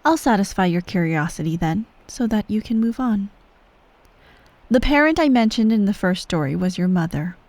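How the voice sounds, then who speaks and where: Woman acting out an imperious evil empress, cold, manipulative, measured, condescending, breathy near-whispers, dry close-mic ASMR roleplay. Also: mid-range voice, animated, a little mellow, no echo. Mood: cold, disdainful. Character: cold, manipulative female ruler of an evil empire, stern parent. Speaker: voice actor in a roleplay audio. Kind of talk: ASMR roleplay, slow bedtime storytelling. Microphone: close microphone.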